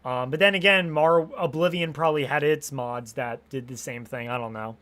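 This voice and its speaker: A young man chatting casually on a live stream, rambling and restarting his sentences, swearing freely, and a little hoarse after hours of talking. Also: medium pitch, moderate pace, a bit bright. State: slightly hoarse from long streaming.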